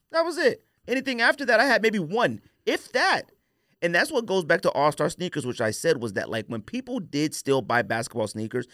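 An American man in his forties talks like a podcast host deep in a casual debate. His pitch moves in lively swings and he drives points home by repeating them. The recording is close and dry. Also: no echo. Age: 40s. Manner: emphatic, repeats phrases for stress. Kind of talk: casual opinionated sneaker debate.

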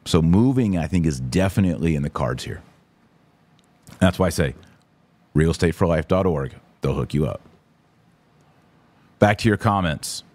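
Low-voiced male podcast host. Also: lively intonation, moderate pace, pauses often, darkish tone, dry recording. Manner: informal, opinionated, emphatic, slangy. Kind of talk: casual livestream commentary, answering viewer comments.

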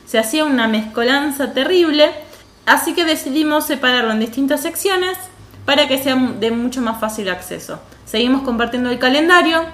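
Higher-voiced Argentine woman, echoey room. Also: fairly bright tone. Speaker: podcast co-host. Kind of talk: casual podcast chat. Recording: roomy echo.

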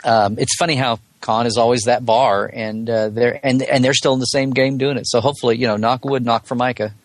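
A man's voice: medium pitch, steady tone, brisk, no room sound. Rambling, colloquial man talking fandom. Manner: digressive, colloquial, breaks off and rephrases mid-thought. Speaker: podcast guest, Star Trek blogger. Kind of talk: casual fan discussion, rambling analysis.

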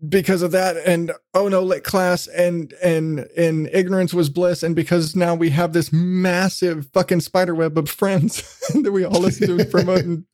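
Male podcast host, slightly high voice, dry close sound. Rambling, self-deprecating, sweary, conversational. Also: level tone, moderate pace, a little mellow, no echo. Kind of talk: casual chat interview.

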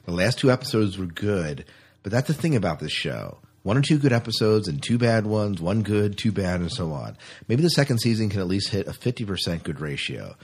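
A man's voice: lowish voice, expressive, a little fast, darkish tone, husky. A man hosting a podcast in a casual, conversational style. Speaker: podcast host. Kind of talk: casual discussion.

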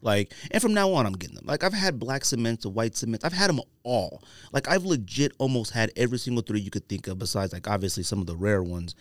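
A man's voice: on the low side, animated, fast talker, few pauses, dry recording. An American man, middle-aged, hosting a podcast in casual, slangy chat, telling stories with emphatic repetition. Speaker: podcast host. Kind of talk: casual chat about sneakers. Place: close-miked podcast studio.

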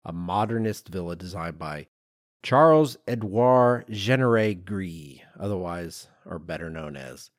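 A middle-aged man co-hosting a podcast in a conversational, animated and emphatic way, with some self-deprecation. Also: low-pitched, a little slow, no echo, clean studio sound. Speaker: podcast co-host.

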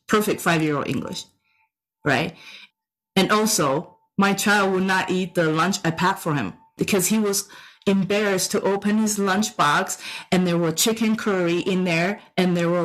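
A woman's voice: medium pitch, low-quality recording. A middle-aged woman from Taiwan, a native Chinese speaker with a Taiwanese accent, tells personal anecdotes in an emphatic way, repeating phrases for effect. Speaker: storyteller giving a personal talk.

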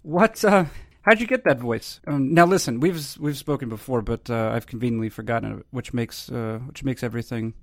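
A man's voice: medium pitch, a little fast, no echo. A man hosting a podcast with dry, wordy, mock-formal delivery and wry banter. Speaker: podcast host.

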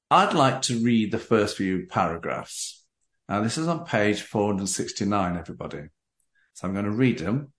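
A man hosting a book interview, addressing the audience deliberately and reading aloud. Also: lowish voice, fairly bright tone. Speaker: podcast host. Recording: roomy, echoey sound.

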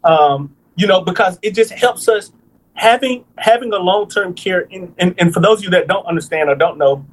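High-voiced man in his thirties explaining. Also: normal speed, rough recording. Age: 30s. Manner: lively, explains step by step with repeated "right?" checks. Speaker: financial planner, guest. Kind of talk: casual podcast conversation.

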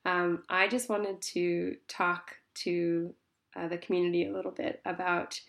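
Woman, congested, somewhat low voice, chatty podcast host, phone recording. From Tasmania, Australia. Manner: chatty and apologetic, many ums. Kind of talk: casual spoken update to listeners.

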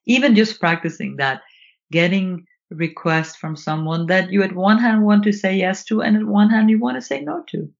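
Woman, Swedish accent, mid-pitched, thoughtful guest in conversation, muffled lo-fi recording. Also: normal speed, gravelly. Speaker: guest, author and trainer in nonviolent communication. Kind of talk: reflective interview conversation.

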